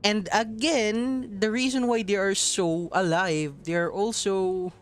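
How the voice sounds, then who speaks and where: Man, from Southeast Asia, unhurried, rambling gameplay chat, laughs a lot. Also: high-pitched, steady tone. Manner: rambling, laughs often, swears casually. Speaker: mobile game streamer. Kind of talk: casual gameplay commentary.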